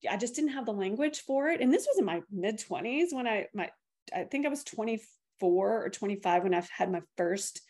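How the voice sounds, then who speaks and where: A woman in her fifties hosts a relaxed conversation, her voice sitting at an ordinary middle pitch. She talks in a loose, rambling way, looping back through her thoughts with plenty of fillers. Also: a little fast. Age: 50s. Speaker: host, yoga and Reiki teacher. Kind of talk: casual podcast chat.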